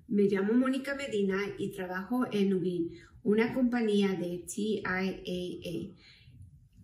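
A woman introduces herself in a prepared way, with a voice of medium pitch. She is some way from the microphone in an echoey room. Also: a little mellow. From Chicago, United States. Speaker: employee volunteer presenter. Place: room indoors. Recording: echoey room, distant mic.

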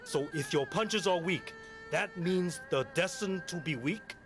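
An actor playing a calm, teacherly older male martial arts master who probes with questions. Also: high-pitched, unhurried. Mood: probing. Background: game score music.

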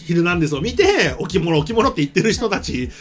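A man's voice: high-pitched, sing-song, fairly quick, soft background music. A middle-aged man hosting a show, chatting casually and telling stories with enthusiastic emphasis. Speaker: show host. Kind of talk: casual chat, animated storytelling. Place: indoor small streaming studio. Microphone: desk microphone.

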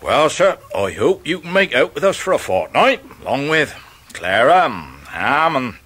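An actor playing a good-natured, humble seaside fisherman in a radio drama, with a hearty, halting manner. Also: a little high, big pitch swings, a bit bright, husky, full character voice. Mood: hearty, welcoming. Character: gruff, good-natured, large hairy old-time seaside fisherman, kindly uncle. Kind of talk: 1990s radio drama acting.

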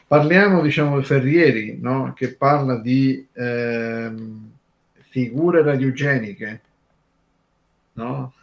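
A man co-hosting an unscripted radio conversation, talking in a halting, discursive way full of filler tags. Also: medium pitch, dull audio. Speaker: radio show co-host.

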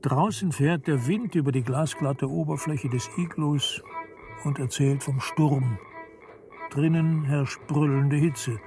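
A man reading literary narration for a radio play in a measured, deliberate storytelling manner. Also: on the high side, darkish tone. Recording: studio narration over prominent music. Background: music.

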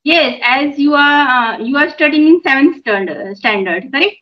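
A middle-aged woman who runs a YouTube channel, practicing English conversation on a video call from home, measured and encouraging with filler pauses. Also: high-pitched, animated. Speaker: YouTube channel host and mother. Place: room at home. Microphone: phone on a video call. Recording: dull lo-fi call audio.

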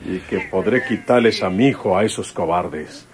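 Here is an actor as a determined, worried rural father in a radio serial. His voice is on the low side and he talks in a folksy northern Mexican country way. The old recording carries some noise. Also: normal speed, lightly acted. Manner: folksy rustic country speech. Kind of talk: radio drama acting.

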